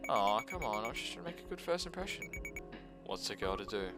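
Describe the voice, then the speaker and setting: Man playing a mischievous, animated eccentric schoolgirl. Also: on the low side, quick pace, loud music behind the voice. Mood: mock innocent. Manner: playful, teasing, absurd. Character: mischievous, eccentric schoolgirl full of odd questions.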